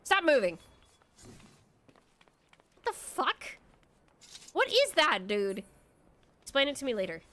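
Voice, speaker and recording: A woman's voice: high voice, very animated, slow, long pauses, a bit bright. A congested young woman streaming a game, chatting casually and rambling, swearing now and then. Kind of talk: casual game livestream chat. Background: faint background music.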